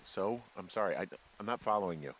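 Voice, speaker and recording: An actor playing a weary, anxious American fire lookout in a video game, hesitant and exasperated in natural dialogue. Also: male voice, deep voice, lively intonation, fast, a bit bright, slight background noise, low-quality recording. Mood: uneasy.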